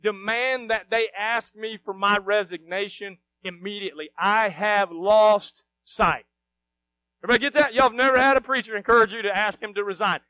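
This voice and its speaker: A man's voice: high voice, fairly even intonation, moderate pace, dry recording, rough recording. A middle-aged man, preaching a sermon to his congregation, full of rhetorical questions and sudden emphatic bursts. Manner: shifts between quiet asides and loud emphatic bursts. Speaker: pastor.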